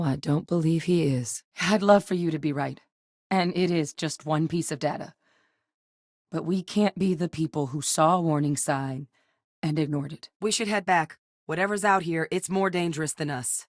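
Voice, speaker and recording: Composed military scientist, female, for a sci-fi game played by an actress: lowish voice, serious and measured, dry close recording. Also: a little mellow, no echo, natural voice. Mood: guarded, wry. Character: composed, duty-driven adult woman soldier-scientist in space. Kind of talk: game character dialogue lines.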